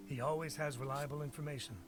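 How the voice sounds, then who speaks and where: A man's voice: a little high, steady tone, fast, heavy background noise, natural voice. An actor playing a grumbling male villager in a fantasy video game. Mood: matter-of-fact. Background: clearly audible music.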